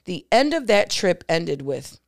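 A woman on a casual podcast chat, talking candidly and profanely in animated stories. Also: lowish voice, a little slow, gravelly, no room sound, clean studio sound. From Puerto Rican family.

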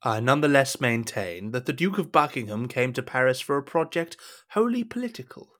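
A man's voice: medium pitch, big pitch swings, fairly quick, a bit bright. An actor playing a scheming, deferential cardinal advising a king in a period audio drama, with a formal, insinuating, courtly manner.

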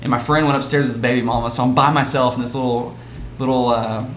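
A man's voice: mid-range voice, steady tone, fast talker, fairly bright tone. Texas guy rambling thru a story, laughs a lot, roomy echo and faint hiss. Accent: Texan. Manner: laughs often, trailing restarts. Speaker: podcast host. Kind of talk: casual chat, storytelling. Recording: echoey room, faint background hiss.

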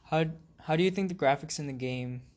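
A teenage man co-hosting a casual student podcast, giving his opinions in a steady, even way. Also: on the high side, fairly quick. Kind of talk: casual discussion. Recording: noisy amateur recording.

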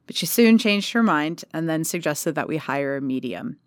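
A woman's voice: sits low, expressive, moderate pace, no room sound, studio quality. A woman co-hosting a casual podcast, chatting and reading a ghost story aloud, swearing casually. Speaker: podcast co-host.

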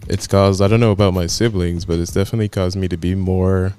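A man in his twenties, a podcast guest telling his story in a laid-back, chatty way with soft laughs. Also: low voice, fairly even intonation, fast talker, clean tone, faint music behind. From United States. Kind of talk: casual chat.

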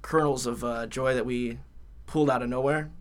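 A man's voice: mid-range voice, fairly even intonation, normal speed. A young man co-hosting a college podcast in a casual, jokey, rambling way from a podcast room. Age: young adult. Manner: laid-back, jokey, rambling with fillers. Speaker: podcast co-host. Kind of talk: casual chat.

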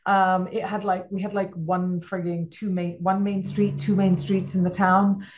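A woman's voice: medium pitch, measured pace, muffled recording, a little hiss or hum. A middle-aged woman from Malawi, a guest entrepreneur, telling stories in casual interview chat with many restarts. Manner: rambling, frequent restarts, animated storytelling.

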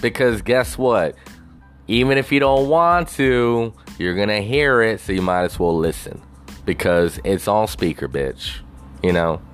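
A man's voice: on the low side, lively intonation, measured pace, faint music behind. A man on a casual, rambling rant, swearing constantly and leaning on "you know" fillers.

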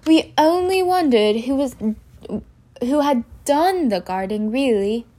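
Schoolgirl character acted by a woman, high-pitched, clever, coaxing, pointed, storybook. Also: slow pace, exaggerated voice. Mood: coaxing, flattering. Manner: flattering, pointed.

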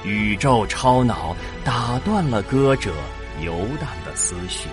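A man's voice: mid-range voice, animated, slow. A man narrating a science fiction audio drama as a scripted, dramatic story. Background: prominent background music.

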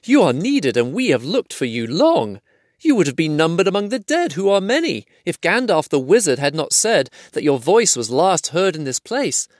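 A grave, formal warrior speaks with steady solemnity in a raised, carrying voice, as a man reads him in a storybook. The sound is dry and close. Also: on the high side, moderate pace, no echo, pitched above the performer's own voice. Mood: earnest.

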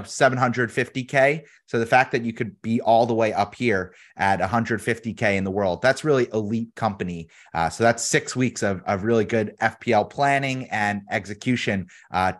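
A man's voice: on the low side, normal speed. Upbeat hyped male podcast host. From United States. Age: adult, has a daughter. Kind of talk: casual podcast chat.

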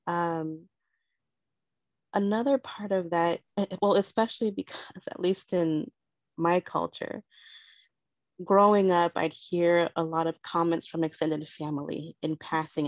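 A woman's voice: lowish voice, dry recording. Earnest woman, dull dry recording. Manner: earnest, reflective, measured. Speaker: guest parent. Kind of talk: casual conversation.

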